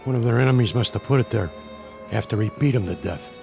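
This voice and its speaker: An actor playing a gruff, unmoved street cop in a radio drama, matter-of-fact. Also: male voice, medium pitch, fast, a little mellow, faint music behind, natural voice. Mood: businesslike, grim.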